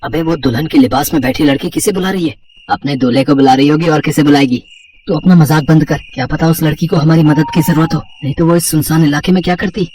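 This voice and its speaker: A man's voice: on the high side, fairly even intonation, quick pace, a little mellow, distant mic, slight background noise, natural voice. A man playing a carefree young college student, mocking and slangy, in a horror audio story. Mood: casual banter. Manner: joking, dismissive, slangy.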